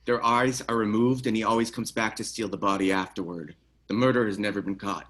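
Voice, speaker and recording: A man playing a grave, haunted police detective in a screenplay table read, serious and measured. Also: lowish voice, steady tone, fairly bright tone, natural voice. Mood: intent, urgent.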